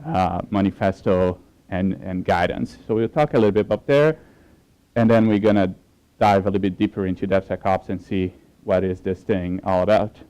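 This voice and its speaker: A man with a Portuguese accent gives a technical talk at a conference. His voice sits fairly low and sounds mellow and smooth. He speaks in a relaxed way, with many fillers and restarts. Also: normal speed.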